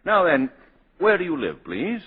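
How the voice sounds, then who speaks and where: Official, brisk district attorney; husky, dull-sounding vintage radio drama. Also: male voice, fairly high pitch, wildly swooping pitch, exaggerated voice. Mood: formal, smug. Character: brisk, formal male prosecutor, dismissive and official. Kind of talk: 1940s radio acting. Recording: dull 1940s radio broadcast.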